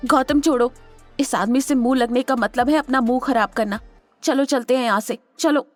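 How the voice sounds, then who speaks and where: An actress playing a spirited young professional woman in an audio drama, emphatic and expressive. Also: high voice, moderate pace, faint music behind, lightly acted. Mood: urgent, scornful. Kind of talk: Hindi audio drama acting.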